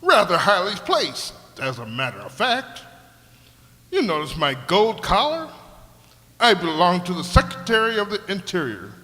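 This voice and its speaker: An actor playing a haughty, chatty talking griffin in a comic radio play, with a pompous, airy, fussy manner. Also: male voice, high voice, huge pitch swings, normal speed, bright, forceful tone, heavily acted. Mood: pompous, self-satisfied. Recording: echoey hall with long reverb.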